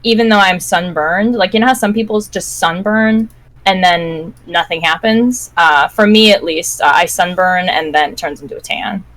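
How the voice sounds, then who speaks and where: A woman's voice: lowish voice, normal speed, fairly bright tone. A woman co-hosting a podcast, telling everyday anecdotes in casual conversation. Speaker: podcast co-host. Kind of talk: casual live-stream chat with anecdotes.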